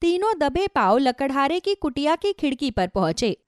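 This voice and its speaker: A woman's voice: high-pitched, very animated, normal speed, no echo, clean studio sound. A woman narrating a scripted children's story as a storyteller.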